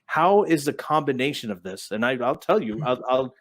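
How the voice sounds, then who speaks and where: A man's voice: mid-range voice, expressive, moderate pace, fairly bright tone. A man who grew up in Saudi Arabia and Pakistan, co-hosting an online debate on a video call, pressing questions and cutting in to finish his points. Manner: interrupting, questioning, insistent. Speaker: debate co-host.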